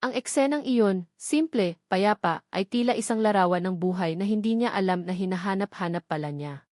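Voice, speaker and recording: Female Filipino audiobook narrator, mid-pitched, measured dramatic delivery with weighted pauses, close dry recording. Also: darkish tone, no echo. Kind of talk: scripted dramatic story narration.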